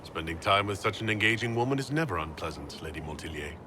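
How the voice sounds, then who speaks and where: Low-voiced, gallant leader. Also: male voice, lively intonation, fast talker, background noise. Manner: gentle, considerate, courteous. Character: supportive, courteous male leader, calm and gallant. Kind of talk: video game dialogue acting.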